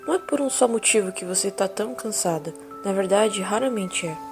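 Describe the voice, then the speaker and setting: A woman acting a calm therapist in an audio drama, asking gentle probing questions over music. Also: mid-range voice, brisk, close to an everyday voice.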